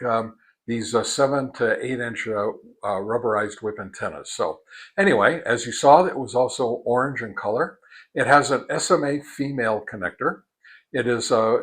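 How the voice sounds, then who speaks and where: A man giving an informal product test and demonstration, explaining methodically in a halting way. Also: medium pitch, fairly bright tone. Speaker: product reviewer, host.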